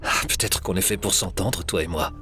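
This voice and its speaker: A man's voice: sits low, natural voice. A man playing a serious, haunted young man who speaks hesitantly in an audio drama. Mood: tender, hopeful. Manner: hesitant, halting, repeating words. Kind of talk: French audio drama acting.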